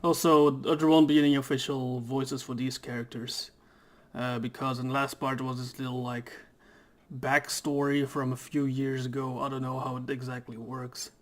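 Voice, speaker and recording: A young man talks casually over a game at home into a desk mic, and he rambles and hesitates with plenty of fillers. His throat is irritated, so he keeps clearing it. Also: medium pitch, level tone, moderate pace. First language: Dutch. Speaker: gaming YouTuber. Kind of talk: casual let's play commentary. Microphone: desk microphone.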